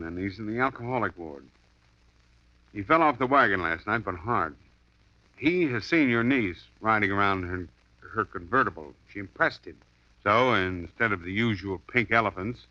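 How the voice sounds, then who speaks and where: A wisecracking, breezy private detective in a 1950s radio play. His fairly low voice carries a hard, bright edge and sits close and dry. The sound is dull and lo-fi, like an old radio broadcast. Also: no room sound. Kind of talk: 1950s radio drama acting.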